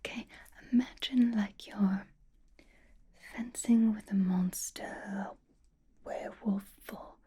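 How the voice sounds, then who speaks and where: A woman voicing an elegant, flirtatious vampire in an ASMR roleplay, coaxing intimately with fond exasperation in a breathy, halting whisper. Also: medium pitch, steady tone, dark tone, close to an everyday voice. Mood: patient, pleading. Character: elegant female vampire, flirtatious, patient, fondly exasperated gala companion.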